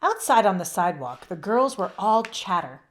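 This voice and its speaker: A woman narrating a children's book aloud in an expressive storybook style. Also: mid-range voice, moderate pace.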